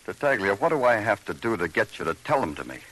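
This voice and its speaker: An actor playing a deadpan, terse police detective who narrates his case and questions people in an old noir radio drama. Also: lowish voice, quick pace, poor audio. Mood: weary, impatient.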